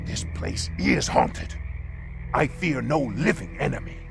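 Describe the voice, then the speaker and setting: Uneasy orc grunt: extremely gravelly, slow, lively swings, fantasy game acting. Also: male voice, mid-range voice, full character voice. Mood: spooked. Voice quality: very gravelly growl. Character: wary, superstitious orc warrior underling. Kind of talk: dramatic video game acting. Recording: music behind the voice.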